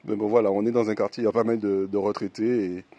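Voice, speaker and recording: A man's voice: fairly low pitch, flat delivery, quick pace, dark tone, no echo, dull audio. Informal man asking questions. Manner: teasing, informal. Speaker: vlog host and interviewer. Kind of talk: casual interview chat. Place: outdoors in a residential neighbourhood.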